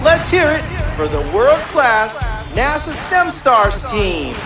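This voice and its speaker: A high, lively woman's voice announces the show in a drawn-out, singsong way. She sounds distant from the mic, with loud music playing behind her. Every word is stretched out slowly. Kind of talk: hyped promo intro.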